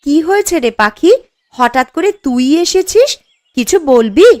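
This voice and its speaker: High-voiced, caring village wife. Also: female voice, animated, measured pace, a little mellow. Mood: concerned. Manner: reassuring, chatty, earnest. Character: caring, sensible young village wife and aunt. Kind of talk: cartoon story dialogue. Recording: music under the voice.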